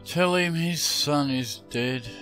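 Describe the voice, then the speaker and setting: A man's voice: on the high side, takes it slowly, fairly bright tone. A man giving casual, offhand commentary as he plays through a video game. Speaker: gamer narrating a playthrough. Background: game music and sounds.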